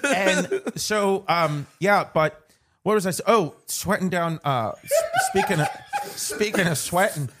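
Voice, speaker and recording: A man's voice: sits high, measured pace, very noisy. A man putting on an Irish accent as a jokey, profane, rambling podcast host in comic banter. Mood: rambling storytelling. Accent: put-on Irish. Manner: rambling, giggly, swearing.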